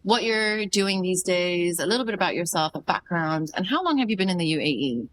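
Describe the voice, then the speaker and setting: Effusive woman hosting a podcast, low voice. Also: animated, a little fast, dry recording. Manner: effusive and grateful, warm praise. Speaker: podcast host. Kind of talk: casual interview.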